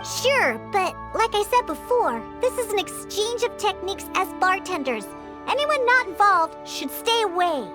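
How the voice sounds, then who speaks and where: Grumpy high-pitched little cat-girl bartender. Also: very animated, moderate pace, music in the background. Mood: haughty, firm. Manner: haughty, curt, grumbling. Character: grumpy, proud young cat-girl bartender, childlike, disdainful. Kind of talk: English video game voice acting.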